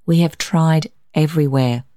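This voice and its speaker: A woman voicing a soft-spoken, serious young male doctor in a narrated story. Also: sits low, fairly even intonation, moderate pace, studio quality, close to an everyday voice. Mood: grave. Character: quiet, earnest, kind young male doctor. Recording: roomy echo, faint music.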